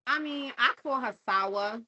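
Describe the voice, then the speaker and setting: A woman's voice: on the high side, a little slow, bright, forceful tone, highs cut off. A woman with a New York accent chatting casually as a podcast guest, in a cocky, playful, slangy way. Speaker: reality TV personality, podcast guest. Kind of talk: casual chat.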